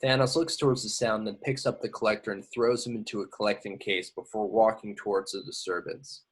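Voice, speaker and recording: A man with a fairly low voice narrates stage directions. It is a scripted read, delivered as part of an amateur table read. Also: fairly even intonation, fairly quick, breathy edge.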